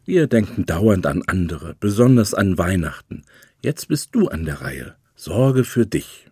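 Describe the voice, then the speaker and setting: A husky, condescending life coach. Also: male voice, deep voice, big pitch swings, measured pace, dry recording, clean studio sound, heavily acted. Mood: soothing, patronizing. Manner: gently condescending, patronizing. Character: smooth, self-absorbed life coach, condescending salesman of mindfulness. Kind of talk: German radio play acting.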